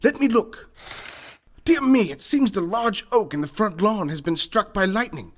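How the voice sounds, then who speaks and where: A pompous, wealthy eccentric gentleman played by a man, pitched fairly high. He speaks formally and theatrically, like the lord of the house in a comic radio mystery. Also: expressive, moderate pace. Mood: alarmed. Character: pompous wealthy eccentric businessman and hunter, male. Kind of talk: comedic old-time radio mystery acting.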